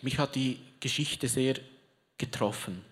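A preacher giving a lively sermon, telling a story theatrically and joking with a live audience. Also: medium pitch, measured pace. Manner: theatrical storyteller, rallies the crowd; chatty asides, joking with the audience. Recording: long reverb of a big hall.

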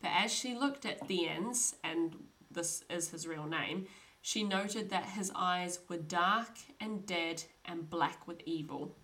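A woman's voice: fairly low pitch, slow, a bit bright, slight background noise, rough recording. A young woman hosting a podcast, telling a true crime story in a chatty, casual way with frequent laughs. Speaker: podcast host.

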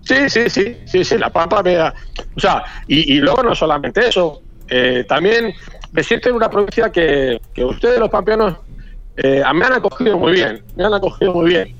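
Castilian-accented man in his forties, halting. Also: sits high, expressive, a bit bright. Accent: Castilian Spanish. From Madrid, Spain. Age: 40s. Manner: halting, repeats words often, talkative. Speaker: hotel owner, radio guest. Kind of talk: casual radio interview. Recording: dull lo-fi radio audio.

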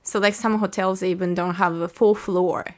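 A woman from Japan with a Japanese accent, chatting casually as a native-speaker guest, often pausing to search for words. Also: lowish voice, fairly even intonation, darkish tone. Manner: hesitant, searching for words, many fillers. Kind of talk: casual chat.

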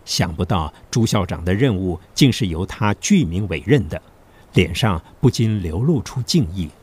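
A man's voice: deep voice, expressive, normal speed, a little mellow, slightly rough. A man narrating a scripted historical drama as its storyteller. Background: background music.

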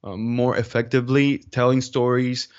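A man with a Spanish accent talks as an interview guest. He is measured and deliberate, often searching for the next word. His mid-pitched voice comes through slightly dull, lo-fi audio. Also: little pitch movement. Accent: Spanish-speaking. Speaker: interview guest, video creator. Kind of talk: conversational interview answers.

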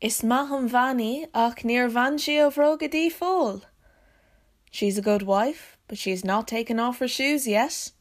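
Slow-speaking Irish woman, slightly high voice. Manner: deliberate, measured phrasing. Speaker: storyteller. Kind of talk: scripted reading of proverbs in Irish and English.

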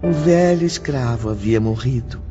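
A man's voice: mid-range voice, animated, moderate pace. A man narrating a scripted radio drama in a solemn, theatrical way. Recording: muffled radio production, loud music behind.

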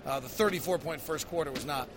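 High-pitched American man hosting a sports podcast. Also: fast, noisy background. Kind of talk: post-game basketball analysis.

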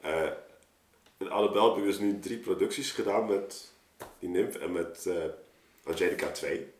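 Low-voiced man in an echoey room. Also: moderate pace. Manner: digressive, conversational, interrupts himself. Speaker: artist, story maker on a podcast. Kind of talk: casual chat, explaining his creative process. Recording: roomy, echoing room sound.

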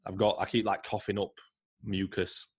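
Man, croaky, ill, lowish voice, casual podcast chat, rambling. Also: level tone, moderate pace. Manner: chatty, swears casually, many fillers. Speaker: podcast co-host.

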